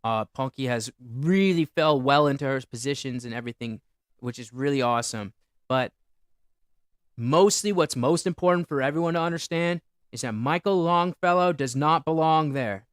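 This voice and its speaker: A young man hosting a solo livestream, rambling and boasting loudly about himself. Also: mid-range voice, normal speed, smooth, no room sound, studio quality. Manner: boastful, rambling, self-aggrandizing. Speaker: livestream host.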